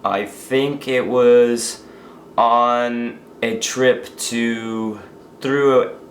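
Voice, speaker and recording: A tired young man vlogging casually, hoarse and losing his voice from being sick, rambling and interrupting himself. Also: medium pitch, steady tone, extremely slow, a little hiss or hum. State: tired after a long work shift. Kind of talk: casual daily vlog. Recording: echoey room.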